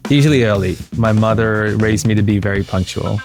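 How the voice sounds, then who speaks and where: A man's voice: fairly low pitch, fairly quick, darkish tone, faint music behind. A man in his early fifties from Sri Lanka talking casually as a podcast guest. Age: early 50s. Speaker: interview guest, founder. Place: living room at home, indoors. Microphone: close desk microphone on a video call.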